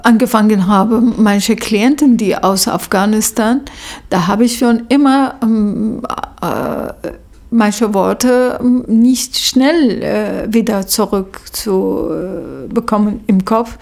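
Slow, creaky woman with an Arabic accent. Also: medium pitch, darkish tone. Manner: halting, searching for words. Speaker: social worker telling her experience. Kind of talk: spontaneous interview account.

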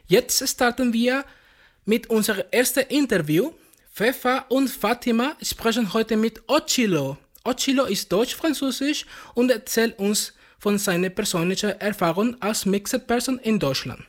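A woman's voice: a little high, a little slow. A woman presenting a radio show, reading a scripted announcement.

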